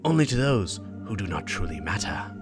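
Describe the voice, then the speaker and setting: A man playing grave conspirators plotting, in a tense, deliberate and theatrical dramatic reading of video game dialogue. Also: sits low, big pitch swings, over music. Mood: conspiratorial, grave. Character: two grave conspirators, a cold schemer and a doubting recruit.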